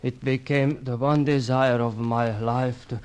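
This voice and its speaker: An actor playing a dying, lovestruck South club owner, confessing in formal, solemn, resigned phrases in radio melodrama style. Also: male voice, medium pitch, fairly even intonation, measured pace, darkish tone, smooth, lightly acted. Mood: regretful. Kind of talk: old-time radio melodrama acting.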